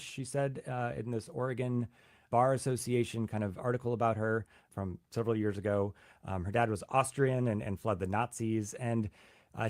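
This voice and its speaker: Brief: male news reporter, mid pitch, dry close mic, halting restarts. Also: darkish tone, dry recording. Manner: halting, repeats words at starts. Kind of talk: news interview, reporter explaining.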